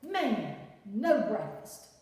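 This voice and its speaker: A woman's voice: a little high, big pitch swings, very slow, darkish tone, full character voice. A woman voicing a hungry, grumbling male wolf as she reads a story aloud. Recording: echoey room from far off.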